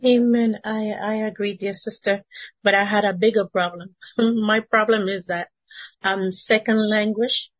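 A woman's voice: mid-range voice, fairly even intonation, measured pace, dry recording, dull audio. A woman with a Spanish accent, a native Spanish speaker, sharing an earnest testimony at a Bible study and laughing between phrases. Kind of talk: casual testimony.

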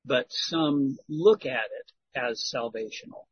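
Brief: male study leader, husky and unhurried, earnest pastoral tone. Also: medium pitch, muffled recording. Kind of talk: church discussion, preaching.

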